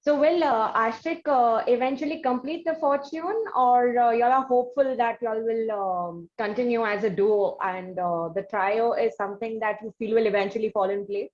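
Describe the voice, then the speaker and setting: A woman with an Indian accent hosting a conversational interview, phrasing her questions in a measured, halting way. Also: fairly high pitch, fairly even intonation, darkish tone. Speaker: interview host. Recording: slightly dull lo-fi sound.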